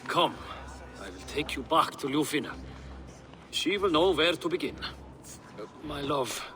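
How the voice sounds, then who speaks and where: An actor playing an earnest, harried Viking steward and devoted husband in a video game. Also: male voice, a little high, animated, a little slow. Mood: tender.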